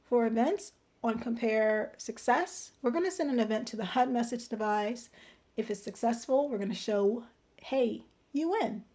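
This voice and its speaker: A woman hosting a casual livestream tutorial with a slightly hoarse, strained voice, explaining step by step and checking in as she goes. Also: a little high, moderate pace, a little mellow. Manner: informal, step-by-step explaining, checking in with "right?" and "okay?". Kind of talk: casual game-design tutorial.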